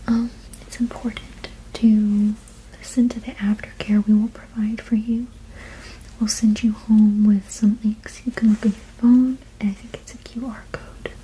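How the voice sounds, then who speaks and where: A woman whispering softly and breathily in a soothing, reassuring, unhurried ASMR roleplay as a sleep therapist. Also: fairly high pitch, a little hiss or hum, low-quality recording. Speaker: ASMR performer playing a witch sleep therapist.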